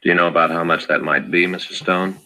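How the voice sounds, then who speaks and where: An actor playing a terse, businesslike police detective in a 1950s radio drama, deadpan and matter-of-fact, with a husky voice. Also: male voice, low voice, fast talker, no echo. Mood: polite, probing. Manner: clipped, deadpan, politely matter-of-fact questioning. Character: terse, businesslike male police detective narrating his case. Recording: vintage 1950s radio broadcast.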